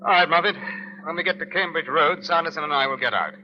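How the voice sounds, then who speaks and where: An actor playing a brisk, wry British police inspector in old radio crime drama, with clipped commands and dry understatement. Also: male voice, on the high side, a little hiss or hum, close to an everyday voice. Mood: brisk, commanding. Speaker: lead actor and narrator. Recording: muffled old radio recording.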